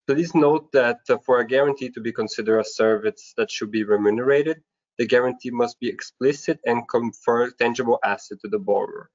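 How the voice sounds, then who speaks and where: Man, mid-pitched voice, formal webinar presenter, scripted delivery, methodical enumeration, dull lo-fi call audio. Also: a little fast.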